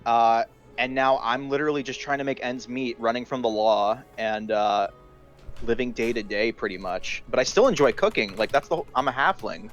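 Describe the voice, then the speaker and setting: A man's voice: medium pitch, moderate pace. A man in casual, joking chat as a tabletop roleplaying player, blunt and wry in the way he talks. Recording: dull lo-fi audio, faint music.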